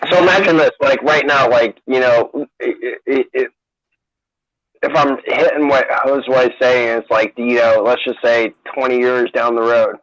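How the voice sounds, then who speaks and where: A man in his thirties co-hosting a livestream, talking excitably with casual swearing. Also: mid-range voice, a little slow, dry recording. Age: 30s. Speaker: co-host. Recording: dull lo-fi dry sound.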